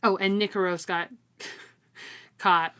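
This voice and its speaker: A woman's voice: medium pitch, fairly even intonation, takes it slowly, pushed and bright, clean tone. A woman co-hosting a casual book discussion, talking in a slangy way and repeating words for emphasis. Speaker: podcast co-host.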